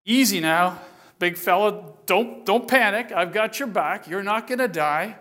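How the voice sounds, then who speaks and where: A man voicing a calm, confident angel who talks in an easygoing, reassuring way. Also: high-pitched, measured pace. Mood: soothing.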